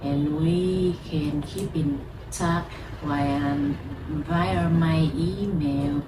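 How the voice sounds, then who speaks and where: Slow, low-voiced woman with a Vietnamese accent. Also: fairly even intonation, echoey room, recorded from a distance, noisy background. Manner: halting non-native English, many fillers. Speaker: lighter collector vlogger. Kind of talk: casual chat to camera. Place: at home.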